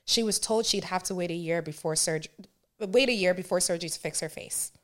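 A woman hosting a podcast, chatting casually with slang and reading stories aloud to friends in an animated way. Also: fairly low pitch, brisk. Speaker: podcast host.

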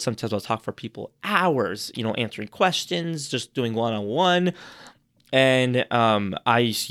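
A man giving casual advice on public speaking on a podcast, chatty and rambling, restarting his sentences often, his voice a little hoarse. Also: medium pitch, a bit bright. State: slightly hoarse. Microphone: podcast microphone.

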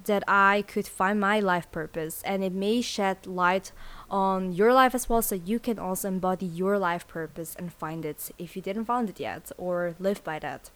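A young woman hosting her own podcast, talking earnestly on her own and filling pauses with "you know". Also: mid-range voice, fairly bright tone. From born in South Korea, grew up in Switzerland. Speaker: podcast host. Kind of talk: solo podcast monologue.

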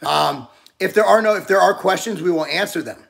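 Man, 40s, high gravelly voice, loose rambling co-host. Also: a little fast, a bit bright. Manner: loose, rambling with frequent restarts. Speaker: podcast co-host. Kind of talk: casual chat.

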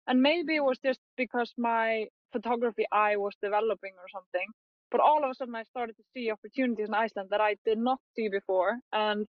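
A young woman photographer chatting in an interview, talking quickly with many fillers and frequent laughs. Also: on the high side, no room sound, lo-fi sound. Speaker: photographer guest. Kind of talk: casual interview chat.

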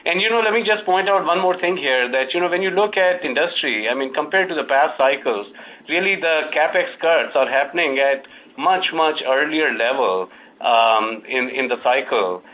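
A man speaking as a company executive on an earnings call, answering in a halting, measured, explanatory way. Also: fairly high pitch, expressive. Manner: halting, measured corporate explaining with frequent pauses. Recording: dull lo-fi conference call audio.

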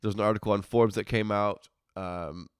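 A low-voiced man talks casually as a solo podcast host. His nose is stuffed up from congested sinuses, though the voice stays smooth and clean in a dry, close recording. He is informal and grumbles in asides, often cutting into his own sentences. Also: steady tone, normal speed, no echo.

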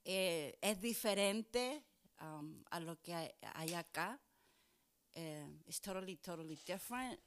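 A woman's voice: fairly low pitch, lively intonation, slow. A middle-aged woman pastor, talking casually as a guest and mixing some English into her Spanish. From Chile. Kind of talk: casual testimonial talk.

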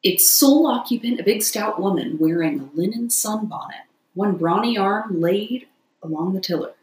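A woman reading a children's classic aloud as expressive storybook narration. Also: mid-range voice, moderate pace, roomy sound, a little hiss or hum, poor audio. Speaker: audiobook reader.

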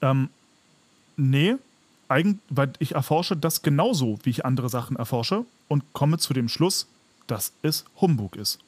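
A man's voice: medium pitch, animated, measured pace, husky, no echo. A man co-hosting a podcast in casual conversation, skeptical and argumentative, restarting his phrases as he reasons. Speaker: podcast co-host.

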